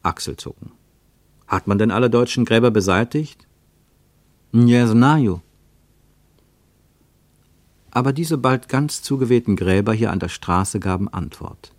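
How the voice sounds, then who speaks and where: A man narrating a radio travel play in a measured, lyrical way, with dramatic swings in pitch and loudness. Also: animated, no echo.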